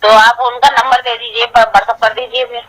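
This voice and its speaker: A woman's voice: fairly high pitch, level tone, moderate pace, crowd noise. A middle-aged woman on a recorded phone call, talking informally and asking questions anxiously. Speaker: worried mother on a phone call. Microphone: telephone.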